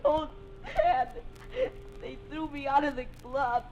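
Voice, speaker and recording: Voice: high voice, fairly even intonation, slow pace, muffled recording. A young performer playing a frightened, defiant boy scout in 1950s radio drama, pleading in tearful, repeated outbursts. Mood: tearful, fearful. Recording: noisy 1950s radio recording.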